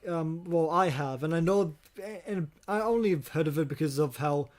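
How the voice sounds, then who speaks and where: A man co-hosting a casual podcast about movies, talking in halting, hesitant phrases with casual swearing. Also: a little high. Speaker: podcast co-host.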